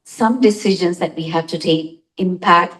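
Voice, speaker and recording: A woman from the audience asking a question at a lecture, hesitant and halting as she phrases it. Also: lowish voice, a bit bright, far from the mic, low-quality recording.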